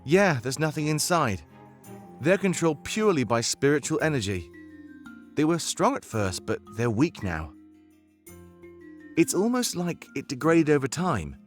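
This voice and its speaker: Expressive, earnest rebel fighter. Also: male voice, on the high side, unhurried, long pauses, faint music behind. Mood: explanatory, rueful. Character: male rebel guide, earnest, conscience-stricken fighter with playful streak. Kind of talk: visual novel game voice acting.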